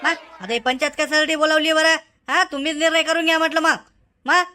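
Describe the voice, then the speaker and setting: A commanding cartoon village head, a man played by a woman with a high-pitched voice. The delivery is bossy and insistent. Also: fairly even intonation, brisk, pushed and bright. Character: bossy male village council leader. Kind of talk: cartoon comedy dialogue.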